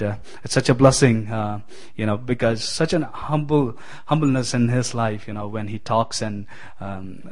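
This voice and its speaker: Guy from giving a sermon, lots of ums and restarts, middle pitch, friendly. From Kerala, India. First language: Malayalam. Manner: hesitant, many fillers and restarts, friendly. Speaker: guest preacher.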